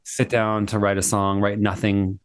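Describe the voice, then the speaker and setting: Upbeat low-voiced man on a video call. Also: monotone, moderate pace, no echo, studio quality. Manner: upbeat, enthusiastic, affirming. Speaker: guest, singer-songwriter. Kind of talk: casual interview chat.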